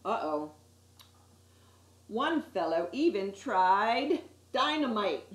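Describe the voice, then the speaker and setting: A woman reading a children's picture book aloud as an expressive storyteller, with little asides to her listeners. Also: medium pitch, slow pace. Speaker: story time reader. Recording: echoey room.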